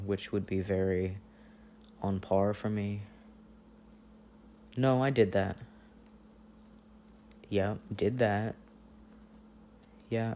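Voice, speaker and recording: A man playing an affectionate, playful boyfriend on a long-distance phone call, in intimate, casual ASMR roleplay with gentle teasing and hesitant pauses. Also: lowish voice, a little hiss or hum. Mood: tender, teasing. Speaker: audio roleplay performer.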